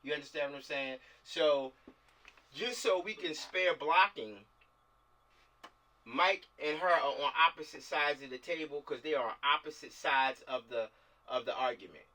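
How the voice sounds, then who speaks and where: A man with a fairly high, forceful voice directs actors in casual talk, circling back and repeating phrases as he explains. He sounds a little away from the mic on an uneven video call. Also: moderate pace, far from the mic, low-quality recording. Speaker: film director leading a table read. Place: online video call, distant microphone.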